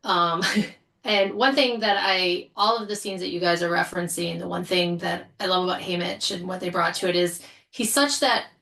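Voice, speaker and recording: Bright, lowish-voiced woman chatting. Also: normal speed, vocal fry. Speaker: podcast panel guest. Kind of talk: casual panel chat.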